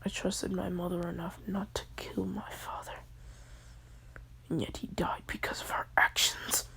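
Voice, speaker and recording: A woman voicing an anguished young male hero, bitter and weeping, in a soft whisper. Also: fairly low pitch, little pitch movement, a little slow, gravelly, full character voice. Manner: whispered, quiet delivery.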